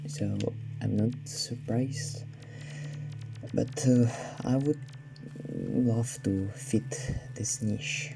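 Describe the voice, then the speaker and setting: Slow, sardonic man over game music. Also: fairly low pitch, vocal fry, poor audio. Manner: sardonic, slightly down-sounding, hesitant with many fillers. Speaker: let's play gamer. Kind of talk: casual gameplay commentary.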